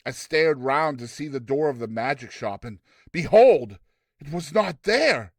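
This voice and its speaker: Male narrator, storybook reading, theatrical and expressive, lively swings in pitch, dry close sound. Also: no room sound. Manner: theatrical, expressive, deliberate delivery. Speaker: narrator, reader.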